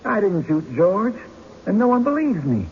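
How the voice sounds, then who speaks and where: An actor playing an anxious, defensive frontier husband in an old-time radio drama, nervous and pleading. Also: male voice, high voice, very animated, moderate pace. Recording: dull, lightly noisy radio recording.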